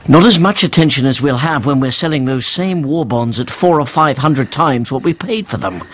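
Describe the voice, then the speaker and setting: An actor playing a greedy, imperious banker baron in a radio drama, husky, smug and commanding. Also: male voice, mid-range voice, lively intonation, normal speed, a bit bright.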